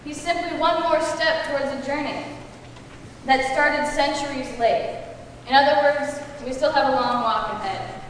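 A woman's voice: high-pitched, a little slow. A woman performing a scripted spoken word piece on stage. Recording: very echoey hall, distant, faint noise.

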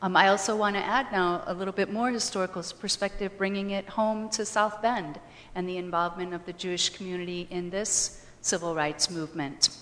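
Halting, lowish-voiced woman in a reverberant hall. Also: steady tone, fairly bright tone. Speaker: guest history professor. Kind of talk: extemporaneous question and answer talk. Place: large hall. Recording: reverberant hall, faint noise.

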